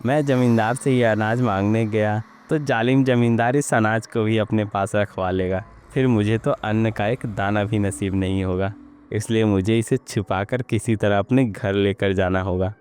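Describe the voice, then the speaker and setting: A man voicing a poor, hungry farm labourer in an animated village story, troubled and pleading in manner. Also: on the low side, normal speed, smooth, close to an everyday voice. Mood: scheming.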